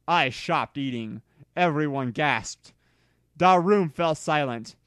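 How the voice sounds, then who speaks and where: A man reading a story aloud in a theatrical, deliberate way, as an amateur dramatic narration. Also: fairly high pitch, fairly bright tone, no echo, studio quality.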